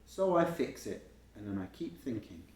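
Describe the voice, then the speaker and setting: A man voicing an earnest, unsure, daydreaming schoolboy from a picture book, with expressive delivery. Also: medium pitch, normal speed, lightly acted. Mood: pondering. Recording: roomy, echoing home recording.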